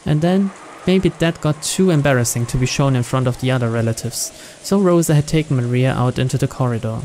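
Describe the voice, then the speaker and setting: A man's voice: medium pitch, brisk, a little mellow, slight background noise, poor audio. A man reading visual novel narration aloud in a storytelling style. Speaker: narrator, let's player.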